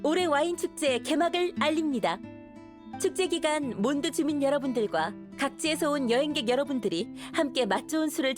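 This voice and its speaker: High-voiced gracious festival announcer. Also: female voice. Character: gracious young woman announcer opening a festival. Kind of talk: formal ceremonial speech in anime game.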